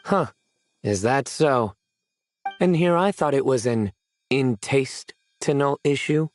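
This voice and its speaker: A man voicing a laid-back young man fond of puns in a video game, dry, teasing and deadpan. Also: medium pitch, slow, close to an everyday voice. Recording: music playing behind the voice.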